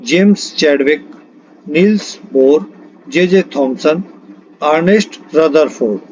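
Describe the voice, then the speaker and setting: A man with a fairly high-pitched voice works through quiz questions as an exam coach. He reads each question and its options, then states the correct answer. The recording is somewhat dull and lo-fi. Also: slow, a little mellow. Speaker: exam-prep physics teacher. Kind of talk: lesson reading out multiple-choice questions and answers.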